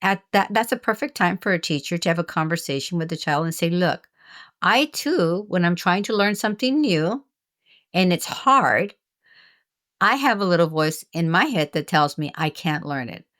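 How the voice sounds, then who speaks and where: Earnest older woman, lowish voice. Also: animated, moderate pace, a bit bright. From United States. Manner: earnest and reflective, with frequent restarts. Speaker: guest, author and retired teacher. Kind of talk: candid interview conversation.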